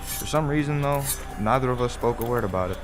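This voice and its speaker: A middle-aged man playing a wry, measured father who narrates a strange roadside tale in a radio play. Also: medium pitch, close to an everyday voice. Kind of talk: scripted first-person radio play narration. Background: music.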